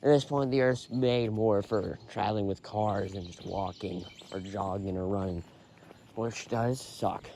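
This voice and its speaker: Young male vlogger with a lowish voice, talking to fill time on a walk; phone-in-pocket sound with faint outdoor hiss. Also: steady tone, a little slow, warm tone. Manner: rambling, filler-heavy, sighing often. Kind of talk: rambling walking vlog. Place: outdoors on a quiet road.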